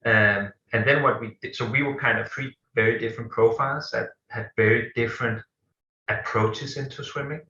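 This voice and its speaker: A man's voice: mid-range voice, fairly even intonation, normal speed, pushed and bright. A man from Denmark with a Danish accent, answering interview questions in his thirties, hesitant and full of fillers. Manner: hesitant, many fillers and restarts. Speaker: guest, company co-founder. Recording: echoey room, dull lo-fi sound.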